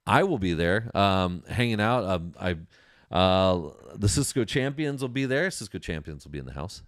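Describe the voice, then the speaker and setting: Deep-voiced man, casual podcast host. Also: dry recording, clean studio sound. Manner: conversational, enthusiastic, repeats phrases for emphasis. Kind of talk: casual industry news talk to an audience.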